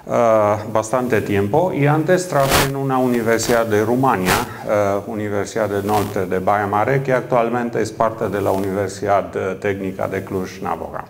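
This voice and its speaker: A man's voice: fairly low pitch, normal speed, gravelly, hardly any pauses, background noise. Romanian-accented man giving a keynote. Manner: frequent hesitant fillers. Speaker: keynote speaker. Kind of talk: conference keynote talk. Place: conference hall.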